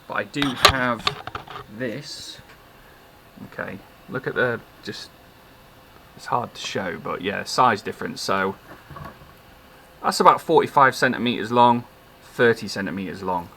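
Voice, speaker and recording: Bright-voiced man in a casual hobby vlog. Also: fairly low pitch, lively intonation, measured pace, pauses often, a little hiss or hum. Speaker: maker showing his work. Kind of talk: casual unscripted product showcase vlog.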